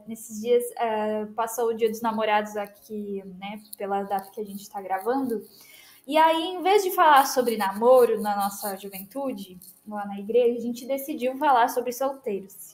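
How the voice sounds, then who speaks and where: A woman's voice: fairly high pitch, normal speed, reverberant. A married woman guest on a podcast, talking candidly and laughing at herself. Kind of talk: casual podcast chat.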